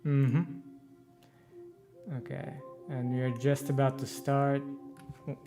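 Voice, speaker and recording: A man giving casual live commentary as he plays a game, talking in a halting, unhurried way. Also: mid-range voice, level tone, warm tone, soft background music. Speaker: streamer co-hosting a game playthrough.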